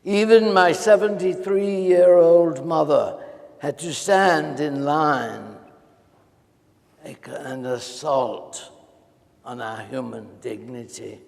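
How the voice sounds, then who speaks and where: A man acting as a weary, humiliated teacher reading his diary on stage, with measured phrasing and weighty pauses. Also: fairly high pitch, steady tone, gravelly, a little mellow. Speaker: stage reader in a dramatic reading. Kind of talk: theatrical diary monologue. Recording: echoey hall with long reverb.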